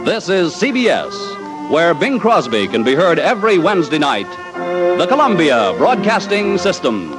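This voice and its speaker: A man giving a formal, measured sign-off on 1940s network radio. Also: high-pitched, very animated, loud music behind the voice. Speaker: radio announcer.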